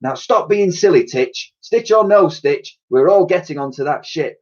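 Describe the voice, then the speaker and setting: A man's voice: a little high, expressive, normal speed, pitched above the performer's own voice. A man voicing a brave, bossy, sensible young girl in a storybook, urgent and commanding. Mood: exasperated, sensible. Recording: dull, lo-fi sound.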